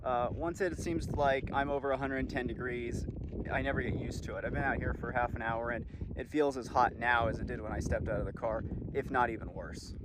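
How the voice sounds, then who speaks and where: A man's voice: mid-range voice, steady tone, a little fast. A man explaining things to camera as a video journalist, in an on-location presenting style. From desert region. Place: outdoors in open desert, sometimes near vehicles. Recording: outdoor field recording with clear ambient noise.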